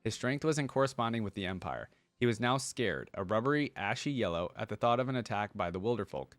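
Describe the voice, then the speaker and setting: A man hosting a reaction video, reading a story aloud with animated delivery and breaking off for casual comments. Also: fairly low pitch, fairly quick, no echo.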